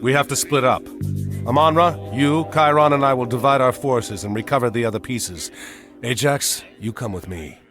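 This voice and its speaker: A man's voice: medium pitch, moderate pace, fairly bright tone, close to an everyday voice. A man playing a decisive heroic commander in a video game cutscene, giving orders. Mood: urgent, decisive. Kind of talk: scripted game cutscene dialogue. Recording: music behind the voice.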